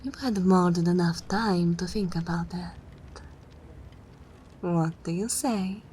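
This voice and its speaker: A regal, centuries-old vampire mistress, acted out by a woman in an ASMR roleplay. She speaks slowly and imperiously, at times dropping near a whisper, over clear background noise. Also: fairly low pitch, steady tone, a little mellow. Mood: defensive, haughty.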